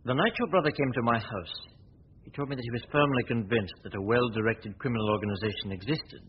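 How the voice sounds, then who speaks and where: An actor playing a suave, probing gentleman detective in a 1940s radio drama, questioning in a measured, formal way. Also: breathy edge. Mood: calm, curious. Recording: tinny, noisy 1940s radio recording with rumble.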